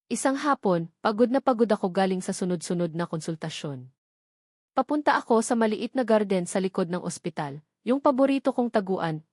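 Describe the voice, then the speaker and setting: A woman narrating a first-person romance story in a gentle, reflective manner into a close mic. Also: mid-range voice, no echo.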